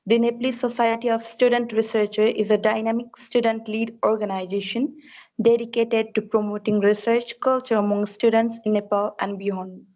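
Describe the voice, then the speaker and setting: A woman's voice: on the high side, level tone, normal speed, darkish tone, lo-fi sound. A woman hosting an online talk who reads a formal, scripted introduction of the speaker. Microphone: computer mic on a video call.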